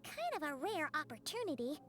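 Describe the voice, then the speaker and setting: A woman voicing a small, excitable, chirpy fairy girl companion in a video game, with a squeaky childlike voice. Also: fairly quick. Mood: curious. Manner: exclamatory, refers to herself in third person. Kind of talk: video game character acting. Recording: loud music behind the voice. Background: game music.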